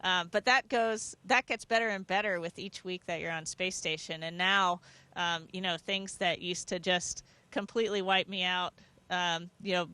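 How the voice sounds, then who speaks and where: A woman's voice: lowish voice, sharp tone. A woman astronaut speaking from aboard a space station, giving thoughtful, earnest, explanatory answers in a conversational interview.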